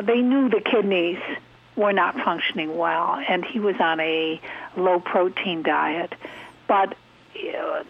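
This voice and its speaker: A woman guest explaining events carefully on a phone interview. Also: fairly low pitch. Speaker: guest, co-author. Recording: muffled phone line.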